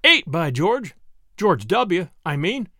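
A man's voice: high-pitched, big pitch swings, takes it slowly, pushed and bright, no echo, studio quality, voice pushed up, full character voice. A man putting on a piping, cheeky little soldier. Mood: teasing. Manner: piping, teasing. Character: small young male soldier, cheeky and piping.

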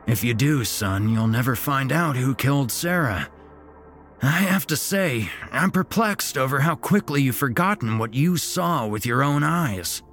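A man's voice: medium pitch, lively intonation, measured pace, a bit bright, faint music behind, voice pushed up. A man voicing a sinister, falsely cheerful old grandfather with a folksy, chipper manner that turns menacing. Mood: wounded.

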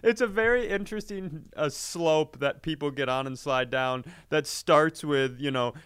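A man's voice: fairly high pitch, moderate pace, fairly bright tone, clean tone, no room sound. Clean, dry-sounding man chatting casually. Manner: profane, sardonic storytelling. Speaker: podcast host and comedian. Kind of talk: casual comedic podcast banter.

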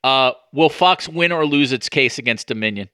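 A man's voice: medium pitch, normal speed, bright, forceful tone, smooth, no room sound, clean studio sound. A man hosting a casual sports interview, talking informally and often rephrasing himself as he goes. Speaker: podcast host and interviewer.

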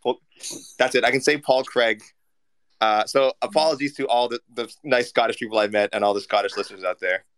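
A man's voice: medium pitch, steady tone, fairly quick, a bit bright. A man co-hosting a call-in show, playful and prone to stumbling restarts. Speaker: co-host. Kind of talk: casual chat.

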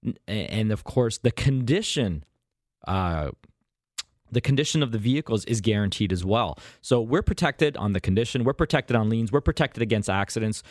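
A man's voice: on the low side, expressive, moderate pace, no room sound, studio quality. A man appearing as a guest on a radio talk show, talking up his car brokering with confident, emphatic sales talk. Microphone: studio microphone.

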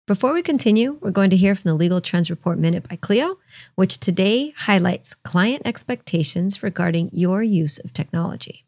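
A woman hosting a podcast, explaining technology in a chatty, lively way. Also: medium pitch, moderate pace, no echo, studio quality. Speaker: podcast host. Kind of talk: explaining tech casually.

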